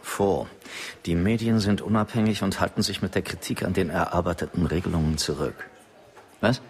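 An actor playing a vain politician in a radio play, commanding and self-satisfied. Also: male voice, low-pitched, normal speed, a little mellow. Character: vain politician dictating media demands.

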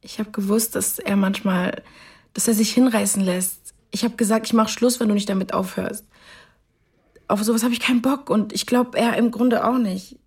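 A woman's voice: medium pitch, steady tone, moderate pace, darkish tone, breathy edge. An actress playing a shaken young girlfriend, defensive and sad, giving hesitant answers in a radio drama.

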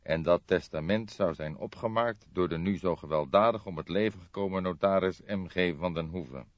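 A man voicing a stern, dogged police detective who questions formally and gruffly in an audiobook. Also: low voice, normal speed, no echo, close to an everyday voice. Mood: probing. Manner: gruff, drawling, emphatic, formal. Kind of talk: audiobook character voice. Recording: dull lo-fi audiobook recording.

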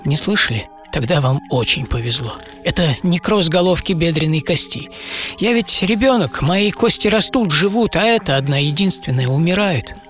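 A man's voice: fairly high pitch, lively intonation, normal speed, fairly bright tone, faint music behind. An actor playing a bedridden eleven-year-old boy who dreams of racing, in a theatrical radio monologue told in short clipped phrases. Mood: weary, wry. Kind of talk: dramatic first-person monologue in radio play.

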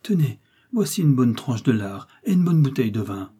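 A man's voice: medium pitch, sing-song, moderate pace, mellow, husky. Man voicing a terrified, pleading peasant mother. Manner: trembling, imploring exclamations.

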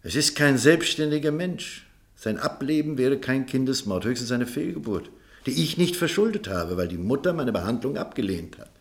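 A man playing a principled, unyielding doctor in a radio play, speaking in a formal, guarded and measured way. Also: medium pitch, expressive, lightly acted. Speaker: actor in a radio drama. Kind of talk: German radio play dialogue.

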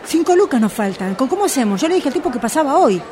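A woman's voice: high voice, sing-song, quick pace, darkish tone, slight background noise. A woman with an Argentine accent acting an exasperated, anxious shop owner in an amateur radio play, insistent and pleading. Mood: agitated. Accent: Argentine Rioplatense.

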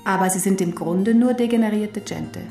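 An actress in a German radio drama playing a knowing teacher who explains history in a deliberate, emphatic way. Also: female voice, mid-range voice, creaky, echoey room, close to an everyday voice. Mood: matter-of-fact, emphatic. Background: music.

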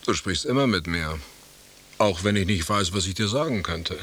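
An actor playing a grumpy, sardonic husband in a radio play, with dry, mocking, formal diction. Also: male voice, low voice, animated, moderate pace, fairly bright tone, husky, full character voice. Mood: irritated. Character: grumpy, sardonic husband in a bickering beach conversation piece. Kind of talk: German radio play acting. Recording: faint tape hiss.

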